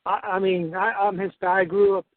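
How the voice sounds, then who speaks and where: A man with a fairly high voice speaks as a caller over a tinny, dry phone line. He explains himself in a casual, hesitant way, often repeating the start of a phrase. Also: little pitch movement, normal speed, dry recording. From United States, Spain and Mexico. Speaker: caller seeking advice. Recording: narrow-band phone line.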